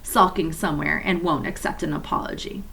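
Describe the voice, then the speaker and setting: A woman voicing a young schoolboy who is sulky and proud, calling out loudly in a storybook reading. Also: low-pitched, steady tone, fairly quick, gravelly, voice pushed down, poor audio. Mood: grumbling.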